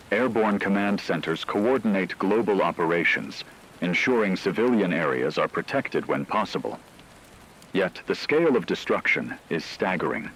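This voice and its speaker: A man playing a solemn radio news anchor, reading scripted dramatic war reports in a measured, portentous way. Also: low voice, fairly bright tone, low-quality recording. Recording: faint rain and radio noise.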